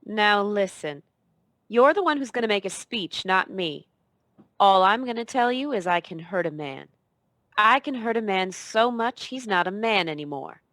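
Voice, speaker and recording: A woman's voice: medium pitch, expressive, moderate pace, a bit bright, no room sound, lightly acted. Brazen noir femme fatale, played by a woman. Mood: menacing. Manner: cool, brazen, wisecracking. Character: tough, teasing, dangerous female femme fatale operative. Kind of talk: 1940s noir radio play acting.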